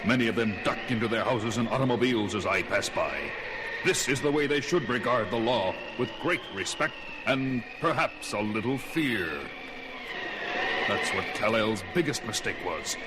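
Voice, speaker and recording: A man's voice: mid-range voice, animated, moderate pace, very noisy, lightly acted. A man playing a proud, stern alien policeman with superpowers in a superhero cartoon, formal and melodramatic. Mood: haughty.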